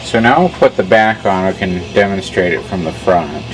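A man narrating a casual repair demonstration in a halting, measured way. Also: low voice, lively intonation, over music, rough recording. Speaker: hobbyist radio repairer.

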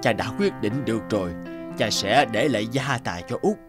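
A man voicing a wise, kindly but stern old father in a fairy-tale cartoon, slow and measured. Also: medium pitch, expressive, lightly acted. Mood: tender. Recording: music behind the voice.

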